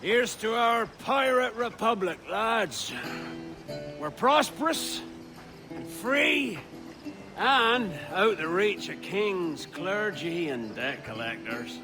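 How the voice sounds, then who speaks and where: An actor playing a bold, proud pirate leader with theatrical, rolling oratory in a video game. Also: male voice, high-pitched, expressive, takes it slowly, over music. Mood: rousing, proud. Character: bold, proud pirate leader, rousing and gruff-humoured man. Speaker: pirate captain. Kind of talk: dramatic video game acting.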